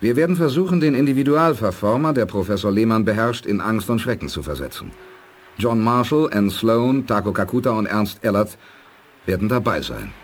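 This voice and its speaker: An actor playing a calm, resolute space commander in a measured, authoritative radio drama delivery. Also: male voice, on the low side. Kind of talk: 1980s German radio drama acting.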